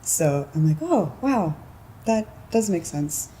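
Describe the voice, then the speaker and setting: A woman in her twenties from California, chatting casually in an interview, relaxed and giggly with lots of filler words and a low voice. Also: takes it slowly, slight background noise, rough recording. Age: 20s.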